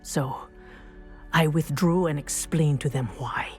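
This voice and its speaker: A dignified middle-aged female professor in a video game, with a fairly low voice and music behind her. She speaks formally and precisely, in measured phrases, like a principled teacher who holds herself to strict standards. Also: steady tone. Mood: solemn regret. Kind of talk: scripted game dialogue, storytelling.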